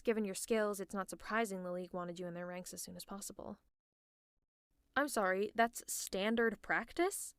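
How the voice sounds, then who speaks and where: Woman acting as sarcastic female supervillain, ASMR roleplay, mid-pitched, teasing, scolding yet caring, close dry mic. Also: dry recording, natural voice. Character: sardonic female supervillain, gruffly fussing over a sick hero. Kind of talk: ASMR roleplay, one-sided dialogue to the listener.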